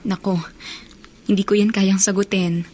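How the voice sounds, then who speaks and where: An actress playing a gentle, reasoning young woman confidante in a radio drama, with a husky voice. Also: mid-range voice, little pitch movement, a little slow, natural voice. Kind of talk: Tagalog radio drama acting.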